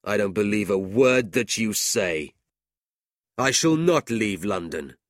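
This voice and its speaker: Scornful old moneylender, voiced by a man, proud, slow, dry. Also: on the low side, lively intonation, dry recording. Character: scornful, hard-hearted old male moneylender.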